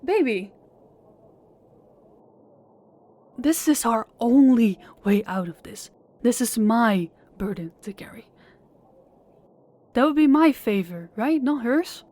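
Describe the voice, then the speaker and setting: A woman's voice: a little high, expressive, measured pace, dark tone, no echo. A woman voicing a polite, desperate young woman pleading with a crime boss in an audio roleplay, speaking formally and deferentially. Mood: anxious. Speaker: voice actor and channel host.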